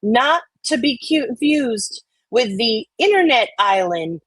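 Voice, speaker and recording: A woman's voice: on the high side, big pitch swings, slow pace, fairly bright tone. A woman hosting a livestream with casual chat, joking and swearing in an informal way. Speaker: livestream host.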